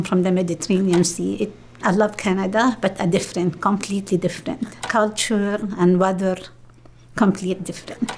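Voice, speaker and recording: A middle-aged woman from Lebanon with a Lebanese accent, Arabic her first language, speaking in an interview in simple, uneven English. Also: medium pitch. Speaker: documentary interviewee.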